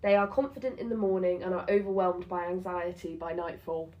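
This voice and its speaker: A twenty-year-old woman co-hosting a chat podcast, talking quickly and bubbling with giggles. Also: lowish voice, fairly even intonation, lo-fi sound. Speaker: podcast co-host. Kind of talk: casual chat.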